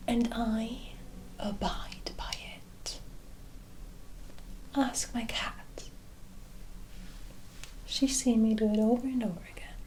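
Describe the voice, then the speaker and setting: A woman playing a sly, mysterious witch in a whispered, breathy ASMR fantasy roleplay, teasing and deliberate as she addresses the listener. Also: on the high side, steady tone, darkish tone, slight background noise, low-quality recording. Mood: sly, bargaining. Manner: slow, deliberate, coy, theatrical, addressing the listener as "my dear".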